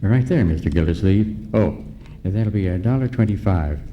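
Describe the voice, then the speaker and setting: A man's voice: low-pitched, expressive, normal speed. A man playing a genial, contrary old shopkeeper with a folksy sing-song manner, in an old-time radio comedy on a dull, faintly noisy stage recording. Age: older. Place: live on stage in a large hall.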